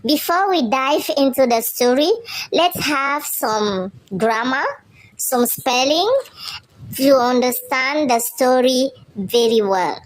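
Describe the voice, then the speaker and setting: A woman English teacher introducing a reading lesson, cheerful, slow and clear. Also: high-pitched, animated, rough recording. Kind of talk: classroom introduction.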